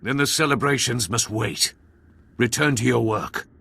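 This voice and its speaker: An actor playing a cruel, gloating Sith lord in a video game, with a raspy voice and a pompous, grandiose delivery. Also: male voice, medium pitch, lively intonation, unhurried, sharp tone, heavily acted. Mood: gloating, imperious. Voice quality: gravelly, raspy. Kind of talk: theatrical video game villain acting.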